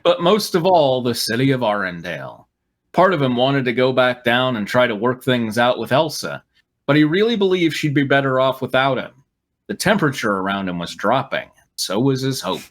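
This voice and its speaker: A man hosting a comedy reading of fan fiction, narrating aloud and breaking off for sarcastic, animated asides. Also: medium pitch, moderate pace. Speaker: host and narrator.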